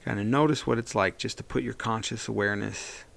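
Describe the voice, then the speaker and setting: A man leading a guided meditation as a therapist, speaking in a gentle, soothing and reassuring way. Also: on the low side, fairly even intonation, a little fast, creaky.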